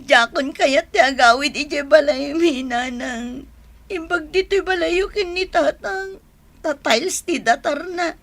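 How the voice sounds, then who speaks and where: A woman playing a distraught, complaining young wife in a radio drama, with a shrill, tearful voice and a Filipino accent. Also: measured pace, a bit bright. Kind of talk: Ilocano radio drama acting.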